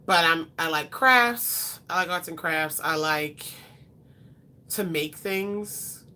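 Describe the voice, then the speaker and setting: A woman's voice: deep voice, slow, bright, forceful tone, a little hiss or hum. A woman in her twenties chatting casually with viewers and answering their questions, rambling with frequent ums and self-corrections. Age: 20s. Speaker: beauty vlogger.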